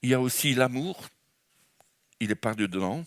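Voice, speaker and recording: A man's voice: medium pitch, lively intonation, moderate pace, no room sound. A man from France with a French accent, preaching an enthusiastic, rambling charismatic sermon in church with many restarts. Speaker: guest preacher.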